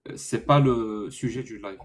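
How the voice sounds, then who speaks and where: A man's voice: on the low side, moderate pace, noisy background. Firm Algerian man hosting a debate. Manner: firm, corrective, drily sarcastic. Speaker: live-stream debate host. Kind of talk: live-stream debate.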